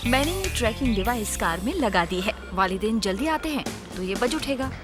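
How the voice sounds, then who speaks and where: A woman's voice: on the high side, sing-song, a little fast. A woman voicing a clever, matter-of-fact schoolgirl inventor in a dubbed cartoon. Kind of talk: cartoon dub acting. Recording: music behind the voice.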